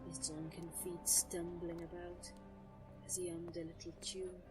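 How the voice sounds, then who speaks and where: A woman playing an ancient, brooding nature sorceress who narrates an audio drama in a solemn, measured, literary manner. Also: fairly low pitch, flat delivery, close to an everyday voice. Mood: tense, haunted. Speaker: first-person narrator. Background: music.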